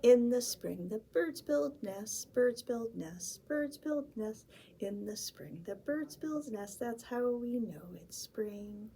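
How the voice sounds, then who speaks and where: A woman voicing a calm grown-up mouse who explains things in a soft, half-whispered, sing-song way. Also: a little high, slow, creaky, slight background noise, heavily acted. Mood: patient.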